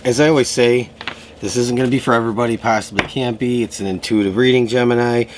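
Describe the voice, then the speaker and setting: A man giving a casual tarot reading to camera, slightly hoarse from a lingering cough, talking in a loose way with many fillers. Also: medium pitch, fast talker, background noise. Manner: relaxed, loose, full of fillers and restarts. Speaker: tarot reader. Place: room at home.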